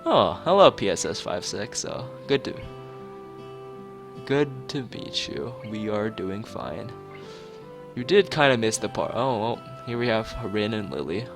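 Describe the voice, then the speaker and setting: A man with a lowish voice streams a story game live. He reads the text aloud and breaks off for joking, mock-formal commentary. Faint plucked guitar music plays behind him. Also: sing-song, unhurried, gravelly. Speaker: streamer playing a visual novel.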